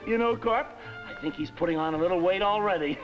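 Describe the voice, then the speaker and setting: A man's voice: high voice, fast, lightly acted, poor audio. A male actor playing a blustering, slangy American army sergeant in a 1950s film comedy. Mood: coaxing. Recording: old 1950s film soundtrack with music.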